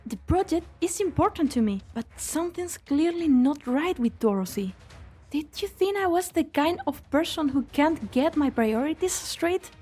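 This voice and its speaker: Casting: actress as young, loyal researcher mage, game fan dub, high voice, expressive and wry. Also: female voice, normal speed, faint music behind. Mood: exasperated, troubled. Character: spirited, loyal young female researcher and spellcaster. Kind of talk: amateur fan dub game drama acting.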